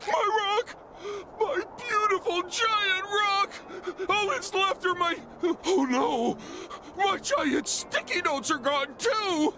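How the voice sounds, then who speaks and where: A man's voice: very high-pitched, slow pace, over a noisy crowd. A man voicing a dim-witted, good-natured starfish in a cartoon game, with dopey, dramatic delivery. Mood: wailing, upset. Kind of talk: cartoon video game acting.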